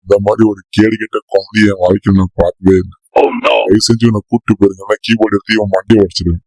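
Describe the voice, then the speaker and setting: A stern cartoon man played by an actor, very low and fast-talking. He sounds gruff, exasperated and scolding, recorded dry. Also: steady tone, darkish tone, no echo, poor audio. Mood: angry. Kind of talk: cartoon comedy voice acting.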